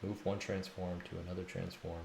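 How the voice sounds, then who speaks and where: Low slow guy mumbling to himself while coding, echoey room, loud typing noise. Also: little pitch movement, vocal fry. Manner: muttering in short fragments. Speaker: game developer streaming his coding. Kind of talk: thinking aloud while coding, casual. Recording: noisy, roomy home recording with keyboard clatter. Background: computer keyboard typing and clicks.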